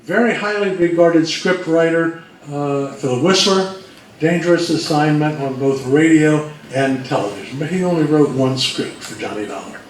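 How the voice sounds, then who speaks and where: A man's voice: a little high, moderate pace. A man co-presenting a history talk, explaining and itemizing in a prepared but informal way. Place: room presentation before an audience. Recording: roomy, distant mic, faint background noise.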